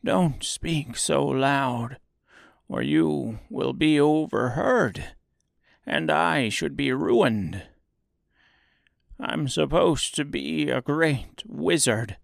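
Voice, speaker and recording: A man's voice: fairly high pitch, lively intonation, very slow, vocal fry, no room sound, studio quality, pitched above the performer's own voice, full character voice. Man putting on a trembling, meek little old man. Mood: meek, confiding. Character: Oz, little old timid humbug wizard.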